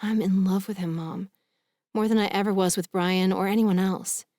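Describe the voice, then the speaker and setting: A woman's voice: medium pitch, fairly even intonation, moderate pace, warm tone, no room sound, clean studio sound, natural voice. A woman voicing a headstrong young woman in love, insistent and emotional, in an audiobook. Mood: earnest, tender. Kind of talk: audiobook character dialogue.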